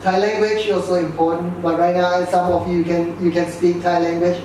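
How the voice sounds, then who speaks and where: High-voiced man in a very echoey hall. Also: flat delivery, normal speed. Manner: interactive, prompting listeners to answer and repeat. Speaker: host leading a student orientation. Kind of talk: informal orientation talk to international students. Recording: very echoey hall, distant mic, clear noise.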